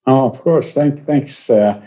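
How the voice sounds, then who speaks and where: Halting Iranian-accented man in his sixties. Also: mid-range voice. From Iran. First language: Persian. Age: 60s. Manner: halting, pausing often mid-phrase. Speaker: interview guest, company executive. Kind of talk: conversational life-story interview. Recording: slightly dull, lo-fi audio.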